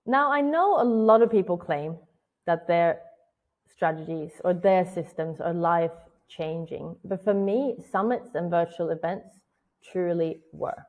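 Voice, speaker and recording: A woman's voice: fairly low pitch, measured pace, phone-quality sound. A woman in her thirties from Sweden with a Swedish accent, giving an earnest, scripted webinar talk as a virtual event strategist. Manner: earnest, personal storytelling.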